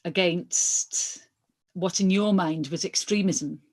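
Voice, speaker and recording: A woman's voice: lowish voice, takes it slowly, a little mellow. A woman reading a lawyer's questions aloud from a hearing transcript, formal and inquiring, with occasional stumbles.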